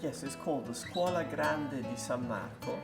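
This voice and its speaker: A man from Venice with a mid-range voice, talking as an academic guest. He explains himself conversationally, in a measured way with some repeated words. Faint music sits behind him. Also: medium pitch. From Venice, Italy. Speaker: historian, interview guest.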